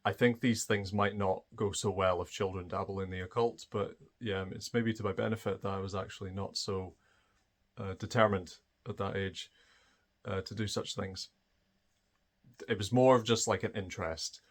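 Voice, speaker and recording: Scottish man hosting his own channel, low-pitched, reflective and hesitant delivery. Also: fairly even intonation, moderate pace. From Scotland. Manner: thoughtful, hesitant, many fillers and restarts. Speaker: channel host. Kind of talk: personal storytelling to camera.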